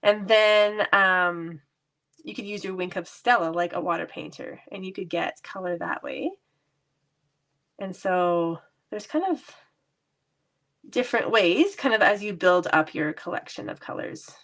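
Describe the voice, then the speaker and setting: A woman's voice: fairly low pitch, measured pace, a bit bright. A woman with a stuffy cold voice, chatting casually and laughing lightly as she demonstrates card making in her basement craft room. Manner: chatty, laughs often, self-deprecating.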